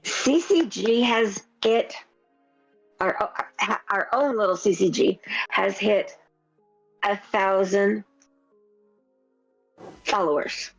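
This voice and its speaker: Slow, halting woman co-host. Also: mid-range voice, gravelly. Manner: halting, many fillers, laughs easily. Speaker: podcast co-host. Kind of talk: casual podcast chat. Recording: dull lo-fi sound with faint music.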